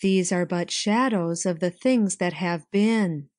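A woman reading a story, voicing a gentle, solemn spirit in a slow, measured way. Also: fairly low pitch, no echo, studio quality.

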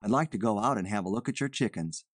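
A woman's voice: extremely low pitch, lively intonation, fast, dry recording, studio quality, voice pushed down. A woman voicing a nervous, evasive man who poses as a chicken buyer, with folksy, ungrammatical, rambling talk. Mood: uneasy, hedging.

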